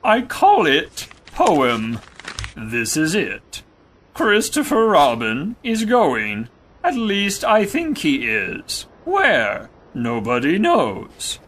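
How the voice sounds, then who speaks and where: A man playing a gloomy, self-important donkey poet in a children's audio drama, ponderous, halting and grumbling. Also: fairly high pitch, sharp tone, heavily acted.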